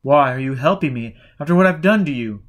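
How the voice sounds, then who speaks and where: A man's voice: on the high side, lively intonation, a little fast, lightly acted. A man reading the part of a confused, desperate man in an audiobook, questioning urgently. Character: confused, desperate man lost between life and death.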